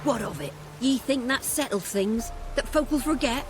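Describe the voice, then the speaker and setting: A woman's voice: on the high side, expressive, normal speed, noisy background, close to an everyday voice. A woman playing a careworn, blunt old peasant grandmother in a video game. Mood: bitter. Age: older. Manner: rustic, scolding, blunt. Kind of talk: video game cutscene acting.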